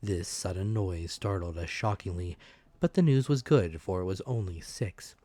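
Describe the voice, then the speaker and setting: A man giving a dramatic, scripted audiobook narration of an adventure story, measured and expressive. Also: low-pitched, no echo.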